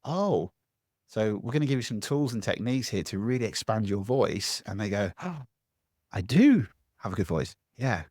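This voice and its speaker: A man, a public speaking coach giving a lively interview answer from home, acting out voices as he talks. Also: fairly low pitch, normal speed, no echo, studio quality. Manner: animated, acts out other people's voices.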